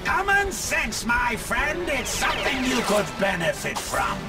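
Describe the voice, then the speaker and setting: Casting: theatrical game supervillain, high and gravelly, gleefully menacing, taunting, under loud music. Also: male voice, big pitch swings, a little slow, exaggerated voice. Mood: scornful. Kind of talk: video game cutscene acting.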